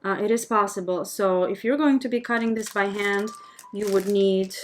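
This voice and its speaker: A woman's voice: medium pitch, fairly even intonation, normal speed. A woman from Albania speaking casually and chattily as she hosts a live craft tutorial, friendly with her viewers. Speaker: host of a craft tutorial livestream.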